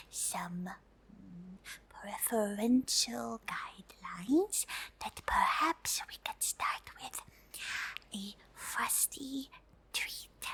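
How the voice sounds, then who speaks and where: A woman voicing a friendly, curious alien in a whispered ASMR roleplay, halting and politely formal, in a soft breathy voice. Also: mid-range voice, heavily acted. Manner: halting, hesitant, politely formal, third-person self-reference. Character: friendly curious pink female alien host, shy and hesitant.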